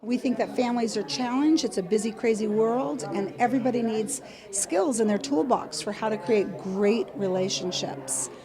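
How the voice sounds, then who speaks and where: A woman's voice: on the high side, lively intonation, normal speed, a crowd in the background. A woman puppeteer explaining her work in an interview.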